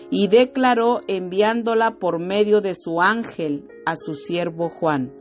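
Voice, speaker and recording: Low-voiced woman preaching slowly over faint music. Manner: slow, fervent, frequent hallelujahs and amens. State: slightly irritated throat. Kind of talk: devotional sermon with scripture reading.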